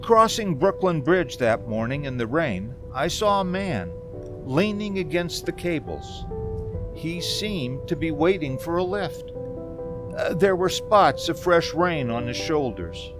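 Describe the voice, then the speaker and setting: Slow, haunted actor as a male motorist, hesitant. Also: a little high, sing-song, music in the background. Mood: uneasy, brooding. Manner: hesitant, stammering, deliberate dramatic delivery. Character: uneasy, haunted male motorist recounting a strange journey. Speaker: narrator and lead actor. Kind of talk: radio drama monologue, old-time radio acting.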